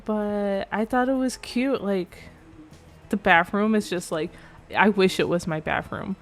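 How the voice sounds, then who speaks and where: A woman's voice: medium pitch, a little slow. Chatty young woman, slightly nasal. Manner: chatty, rambling, self-correcting. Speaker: game build creator. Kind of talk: casual voice-over commentary. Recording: faint background music.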